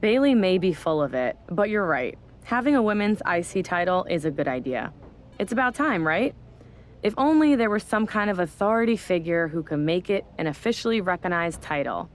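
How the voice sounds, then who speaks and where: A woman's voice: on the low side, big pitch swings, fairly quick. An actress playing a sarcastic, no-nonsense woman general manager in a wrestling video game, dry and authoritative. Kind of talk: video game cutscene acting.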